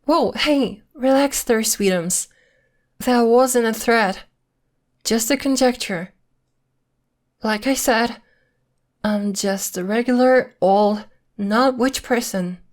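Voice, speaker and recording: A woman playing a coy, high-voiced possessive witch girlfriend. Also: slow, long pauses. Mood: coy, teasing. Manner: coy, teasing, veiled threats in a sweet tone. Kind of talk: ASMR roleplay acting.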